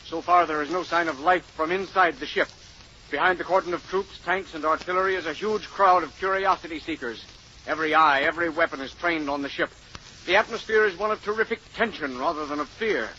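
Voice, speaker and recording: A man's voice: fairly high pitch, fairly quick, bright, forceful tone. An actor playing a tense male news reporter on the scene in a 1950s radio drama, announcing in an urgent, clipped way. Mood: tense, controlled. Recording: dull old radio recording, faint noise.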